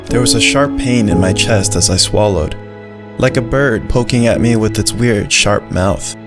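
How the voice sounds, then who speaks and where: A man's voice: on the low side, normal speed, lightly acted. A man voicing a brave, loyal little dog who narrates his own story in a simple, earnest way. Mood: tired, content. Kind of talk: first-person scripted story narration. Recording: music behind the voice.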